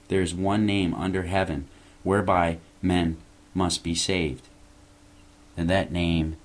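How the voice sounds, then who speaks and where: A man hosting a show, preaching an earnest evangelistic message and urging listeners to turn to God. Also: deep voice. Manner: earnest, exhorting, rising on key phrases. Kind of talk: evangelistic sermon.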